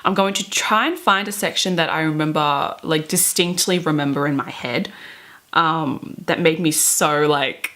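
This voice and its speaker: A woman in her twenties talking casually and dramatically to camera in a bedroom, gushing with drawn-out emphasis. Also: deep voice, sharp tone. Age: 20s. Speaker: book vlogger. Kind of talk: casual chatty vlog with dramatic reading aloud. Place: small bedroom, indoors.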